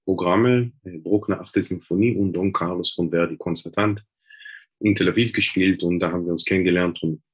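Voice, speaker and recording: A man's voice: sits low, fairly even intonation, a little fast, slightly rough. A man from Israel, a Hebrew speaker, talking hesitantly as an interview guest with many filler sounds and restarts. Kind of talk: casual interview talk.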